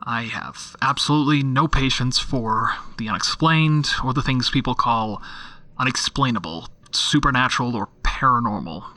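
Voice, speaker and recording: An actor playing a jaded, sceptical male homicide detective in an audio drama, dictating case notes in a dry, deadpan, irritable manner. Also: medium pitch, normal speed, natural voice. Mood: stern, impatient.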